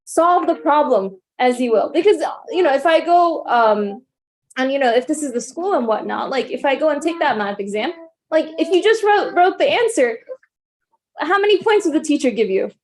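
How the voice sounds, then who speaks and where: A woman with a high voice talks through an idea in a group discussion. She reasons reflectively and often turns back to her listeners to check they are following. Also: expressive, normal speed. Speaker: study circle participant.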